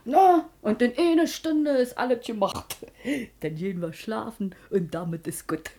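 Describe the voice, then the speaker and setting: Shrewd, bossy Berlin washerwoman, higher-pitched. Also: a little slow, background noise. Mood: scheming. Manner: wheedling, scolding, colloquial. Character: shrewd, bossy working-class washerwoman scheming and nagging her husband. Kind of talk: stage-comedy radio play acting in dialect.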